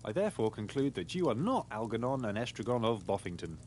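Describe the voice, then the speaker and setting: Male actor as pig sleuth, game character, formal, earnest, animated intonation, deductive, slight background rumble. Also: lightly acted. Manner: formal, deliberate reasoning. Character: earnest pig detective, methodically presenting his deductions. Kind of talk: scripted game dialogue.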